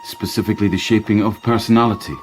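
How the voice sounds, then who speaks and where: An actor playing a driven, awkward Victorian doctor with a halting stammer in a radio drama. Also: male voice, on the low side, fairly even intonation, natural voice. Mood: formal, nervous.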